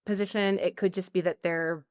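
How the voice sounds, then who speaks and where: A woman's voice: on the low side, steady tone, normal speed, no echo, clean studio sound. A woman guest on a podcast, talking casually and chattily with many "like"s and "you know"s. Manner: chatty, lots of likes and you-knows. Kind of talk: casual interview chat.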